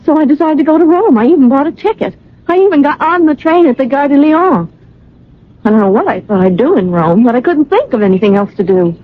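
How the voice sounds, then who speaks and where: A woman's voice: sits high, animated, brisk. An actress playing a lovesick, dreamy young woman in a radio drama, breathless and pleading. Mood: distressed, insistent. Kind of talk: radio drama acting with narration. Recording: tinny old radio recording with music.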